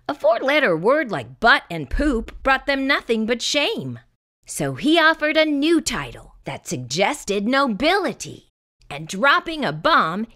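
A woman reading a children's picture book aloud in expressive, scripted narration. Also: fairly high pitch.

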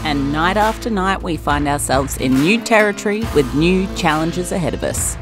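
A woman's voice: fairly low pitch, lively intonation, normal speed, over music. An Australian woman giving scripted narration for a sailing vlog.

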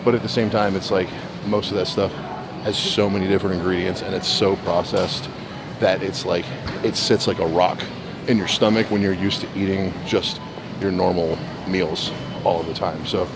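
A man's voice: low voice, fairly even intonation, moderate pace, vocal fry, background noise. A young man chatting casually while shopping in a big grocery store, rambling and repeating words as he explains his eating habits. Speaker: bodybuilder showing his grocery run. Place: large indoor grocery store.

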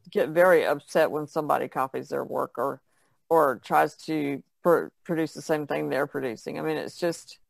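A woman's voice: on the low side, gravelly, dry recording. A woman speaking casually as a panelist in a podcast conversation. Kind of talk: casual chat.

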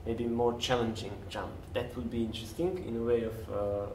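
A man's voice: mid-range voice, flat delivery, fairly quick, a little mellow. A man from Serbia with a Serbian accent, chatting casually as an interview guest and often searching for his words in non-native English. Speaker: interview guest, parkour coach. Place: large empty café-like room, at a table indoors. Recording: roomy, distant mic, faint noise.

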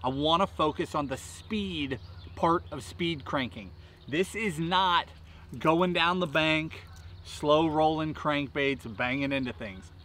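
A man's voice: a little high, takes it slowly, fairly bright tone, slight background noise. A man in his thirties hosting a fishing tutorial outdoors by a lake, talking emphatically with rhythmic repeated bursts. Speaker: fishing channel host. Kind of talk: energetic outdoor tackle tutorial. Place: outdoors at a lakeside park, seated. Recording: faint outdoor ambience behind voice.

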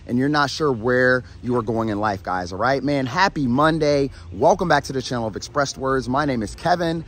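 A man with a hoarse voice, sounding like he is losing it, tired but energetic as he gives a motivational talk to camera, addressing viewers as "family" and checking in with "all right?". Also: mid-range voice. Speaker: motivational YouTube host and coach. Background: background music.

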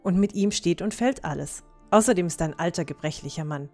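Eine Frau spielt eine entschlossene, tiefere junge Heldin. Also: female voice, lowish voice, brisk, a little mellow, soft background music, natural voice. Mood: resolute. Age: young adult. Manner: firm, decisive. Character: resolute, stern young heroine with firm morals. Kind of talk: fan-made fantasy audio drama acting.

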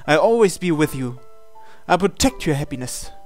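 Let's play host dubbing a visual novel hero; unhurried, mid-pitched, plain. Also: expressive, darkish tone, faint music behind. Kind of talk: reading visual novel lines aloud in English.

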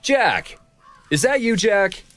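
A man's voice: high-pitched, takes it slowly, no room sound, faint music behind, exaggerated voice. A man acting a friendly, sympathetic neighbour in a video game, with a hearty, welcoming manner. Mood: glad, surprised. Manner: animated, hearty.

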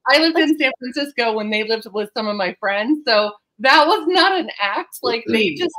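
Chatty woman in her 30s on a video call, bright sharp voice, gets excited talking. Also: on the high side, normal speed, low-quality recording. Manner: enthusiastic, chatty, with fillers. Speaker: podcast co-host. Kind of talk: casual chat. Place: room at home. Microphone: video call webcam.

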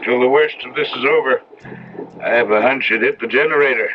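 A man's voice: medium pitch, a little fast, natural voice. Grave ranch foreman, man acting in old radio drama. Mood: steady, helpful. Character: steady, solemn ranch foreman with grave warnings. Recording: dull, noisy old radio broadcast recording.

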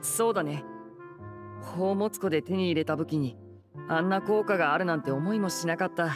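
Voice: medium pitch, moderate pace, music in the background, natural voice. An actor voicing a suave, genial young male stage magician in an anime game, light and wry. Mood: wry amusement.

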